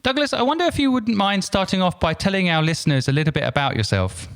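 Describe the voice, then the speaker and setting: A man's voice: high-pitched, lively intonation, quick pace. A man hosting a podcast, giving an upbeat intro and asking questions with stumbling repeats. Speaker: podcast host.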